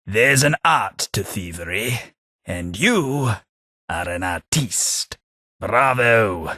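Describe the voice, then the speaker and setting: An actor playing a sardonic, centuries-old undead British aristocrat ghoul companion in a game, with a dry, sarcastic posh drawl and a British accent. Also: male voice, lowish voice, animated, takes it slowly, pushed and bright, a little hiss or hum, heavily acted. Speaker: companion character. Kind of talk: game voice lines.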